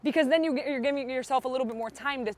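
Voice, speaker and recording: Fast-talking brazilian woman coaching. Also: high voice. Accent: Brazilian Portuguese. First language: Portuguese. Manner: encouraging, direct instructions. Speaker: pickleball coach. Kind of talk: on-court coaching. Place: outdoor pickleball court.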